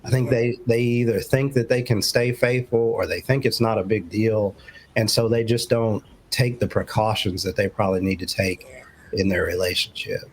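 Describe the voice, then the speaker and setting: Man, fairly low voice, conversational advice, measured explanatory delivery, slight background noise. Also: little pitch movement, low-quality recording. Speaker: talk show co-host and relationship commentator. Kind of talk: conversational advice, talk show discussion.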